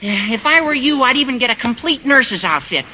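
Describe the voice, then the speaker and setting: A man's voice: very high voice, expressive, fairly quick, pushed and bright, heavily acted. A man playing an eager, earnest teenage schoolboy in an old radio sitcom, with a bossy, gee-whiz manner. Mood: insistent, excited. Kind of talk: 1940s-50s radio sitcom acting. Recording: muffled vintage radio, light noise.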